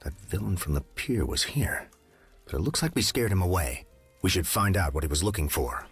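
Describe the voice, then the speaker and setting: Courteous gentleman sleuth, deep register, expressive intonation, formal and measured, adventure game. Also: soft background music. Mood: composed, purposeful. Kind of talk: scripted adventure game dialogue.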